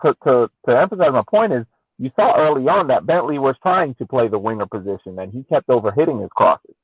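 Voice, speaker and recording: Male panelist, casual sports analysis, medium pitch, thin radio-like call audio. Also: lively intonation, brisk, breathy edge, no room sound. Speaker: podcast panelist. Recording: tinny phone-like call audio.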